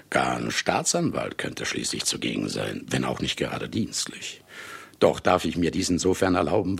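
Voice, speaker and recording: A man's voice: a bass voice, a bit bright, full character voice. An actor in a German radio play playing a cynical, menacing man of letters who kills for material, with grandiloquent, sardonic, theatrical delivery. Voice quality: gravelly, husky. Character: cynical, menacing famous male writer who murders for material.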